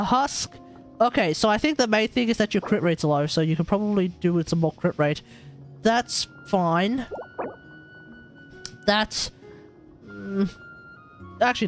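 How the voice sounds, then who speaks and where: A man who streams games, giving casual, slangy commentary and bluntly thinking aloud as he reviews. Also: high voice. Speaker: game streamer.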